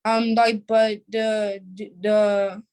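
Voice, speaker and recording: A woman's voice: mid-range voice, level tone, extremely slow, a little mellow, clean tone. A female interview guest chatting casually and hesitantly, searching for words. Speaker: young cast member, interview guest. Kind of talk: casual chat.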